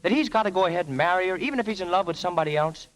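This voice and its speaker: A man's voice: high-pitched, expressive, racing, fairly bright tone. Brash, swooping teenage boy. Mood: confiding, worried. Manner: eager, cocky, slangy. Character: brash, lovesick seventeen-year-old rich boy. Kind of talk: vintage radio comedy acting. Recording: noisy vintage radio recording.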